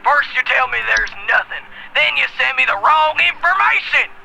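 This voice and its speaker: A man's voice: extremely high pitch, lively intonation, moderate pace, creaky, over music, heavily acted. A man playing a distressed, accusing hotline caller in a horror game, heard through a telephone filter. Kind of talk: video game voice acting.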